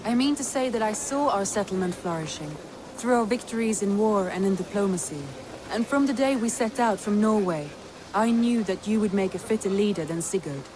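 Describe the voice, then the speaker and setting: A woman playing a composed, loving Norse stateswoman in a video game, with formal, deliberate and sincere delivery. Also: mid-range voice, natural voice. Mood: earnest, assured. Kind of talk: video game dramatic dialogue. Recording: outdoor ambient noise behind voice.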